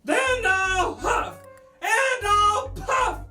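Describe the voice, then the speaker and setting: A woman voicing a boastful, threatening old male wolf in a children's puppet story, with loud, drawn-out singsong threats. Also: very high voice, roomy sound, faint music behind, pitched above the performer's own voice, heavily acted. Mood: menacing, boastful.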